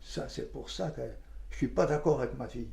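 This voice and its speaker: A man in his nineties reminiscing in an interview, halting and colloquial, often repeating his phrases. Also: medium pitch, gravelly, mellow. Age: 90s. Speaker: interviewee, war witness.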